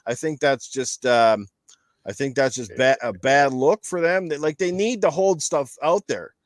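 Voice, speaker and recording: A man's voice: medium pitch, measured pace, dry recording. A man hosting a casual gaming talk show, talking in a rambling, emphatic, explanatory way. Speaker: podcast host.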